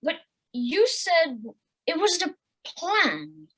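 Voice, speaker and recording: A woman playing a cruel, dim weasel henchman in an audio play, shrill and jeering. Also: wildly swooping pitch, extremely slow, fairly bright tone, exaggerated voice, low-quality recording. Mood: whining.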